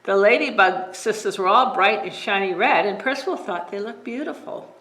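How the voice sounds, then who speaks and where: Gentle woman reading a bedtime story. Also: medium pitch, normal speed. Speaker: children's librarian reading stories. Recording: echoey room.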